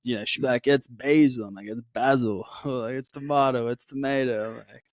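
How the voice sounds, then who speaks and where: A man's voice: medium pitch, a little fast, dry recording, clean studio sound. A man from Melbourne whose Australian accent is blending toward American, a podcast guest talking casually and loosely, full of "like" and "yeah". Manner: relaxed, slangy, says "like" and "yeah" often.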